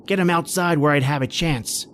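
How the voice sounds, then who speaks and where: A man's voice: a little high, normal speed, a bit bright, a little hiss or hum, close to an everyday voice. A man playing a haunted, panicky man stranded in polar cold, telling his ordeal in an old-time radio horror style. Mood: tense, fearful. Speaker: podcast host and actor.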